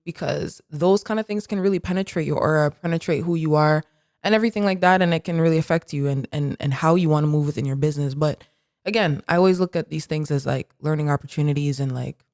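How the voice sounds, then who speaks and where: A woman co-hosting a podcast who talks in an animated, reflective way, full of "like" fillers. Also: low voice, quick pace, no room sound, studio quality. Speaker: podcast co-host. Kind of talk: casual conversation.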